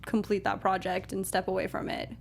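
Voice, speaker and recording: A woman's voice: mid-range voice, completely flat delivery, fairly quick, gravelly, husky. A woman co-hosting a film discussion in a casual, thoughtful and agreeable conversational way. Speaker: co-host of a film discussion show.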